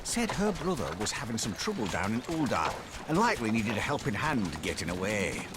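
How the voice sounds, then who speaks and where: An actor playing a jovial rustic wagon driver in a video game, with folksy, hearty, colloquial speech. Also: male voice, on the low side, big pitch swings, brisk, background noise. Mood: chatty. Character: jovial rustic male wagon driver, plain-spoken rescuer.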